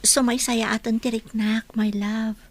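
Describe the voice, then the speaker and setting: A woman playing a gentle, forgiving young wife in a radio drama. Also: a little high, little pitch movement, measured pace. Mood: affectionate.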